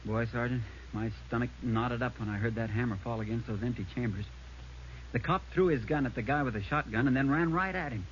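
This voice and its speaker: A man's voice: mid-range voice, fairly quick, close to an everyday voice. Plainspoken male eyewitness played by an actor, earnest conversational account, muffled vintage radio police drama. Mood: awed, earnest. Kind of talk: 1950s radio drama, conversational witness narration. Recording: dull, noisy old radio recording.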